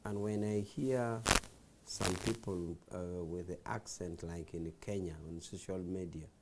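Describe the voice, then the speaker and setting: A man's voice: deep voice, steady tone, measured pace, background noise. A man, a party official on a talk show, defending his side in an insistent, lecturing way. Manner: insistent, lecturing, repeats phrases.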